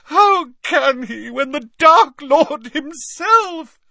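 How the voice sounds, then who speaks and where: A man's voice: very high-pitched, expressive, drawn-out words, gravelly, sharp tone, no room sound, clean studio sound, pitched above the performer's own voice, heavily acted. A man reading an audiobook, voicing a distraught aristocratic mother who pleads through sobs. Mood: pleading. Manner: sobbing, desperate pleading. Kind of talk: audiobook character voice.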